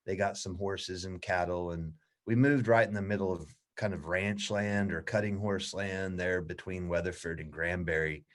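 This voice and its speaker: Easygoing low-voiced man telling stories. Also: moderate pace, few pauses. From United States. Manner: relaxed, easygoing storytelling. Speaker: interview guest. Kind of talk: casual interview chat.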